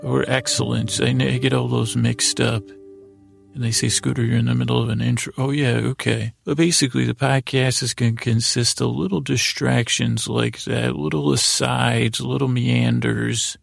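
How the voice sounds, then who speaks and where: A man hosting a bedtime podcast in a lulling, soothing, creaky voice, meandering and trailing off mid-thought. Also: mid-range voice, flat delivery, normal speed, no room sound, clean studio sound, soft background music. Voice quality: very creaky, gravelly. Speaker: podcast host. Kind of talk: rambling bedtime-story podcast monologue.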